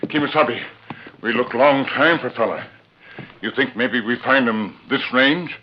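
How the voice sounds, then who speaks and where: An actor playing a faithful western sidekick who speaks in terse broken English in a 1940s radio drama. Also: male voice, medium pitch, moderate pace, natural voice. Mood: watchful. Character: faithful, terse male companion of western hero. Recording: noisy, muffled 1940s radio recording.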